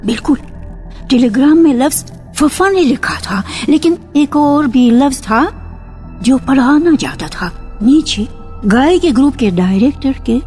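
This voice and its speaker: A woman narrates a scripted literary tale for radio at a very leisurely pace. Her voice is fairly high yet mellow, with deliberate, expressive emphasis. Faint music sits behind her in the archival broadcast.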